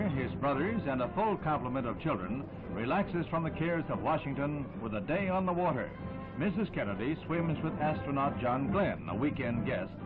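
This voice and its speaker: A man with an American accent reads a 1960s newsreel script like a punchy, dramatic announcer. His voice sits a little high. Loud music plays behind him, and the old soundtrack is narrow and tinny. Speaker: newsreel narrator.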